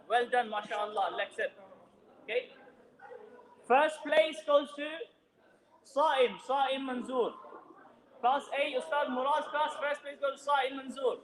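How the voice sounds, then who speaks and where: A man with a notably high voice announces prizes to children, projecting loudly so the whole room can hear. His voice carries through an echoey mosque hall. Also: slow, long pauses. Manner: loud, projecting over a crowd.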